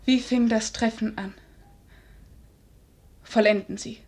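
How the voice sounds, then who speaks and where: An actress playing a grief-stricken young princess in a 1950s German radio drama, husky, solemn and restrained. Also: female voice, fairly high pitch, fairly even intonation, takes it slowly, darkish tone. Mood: grieving, composed. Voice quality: husky, breathy. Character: grief-stricken young princess forcing herself to stay composed.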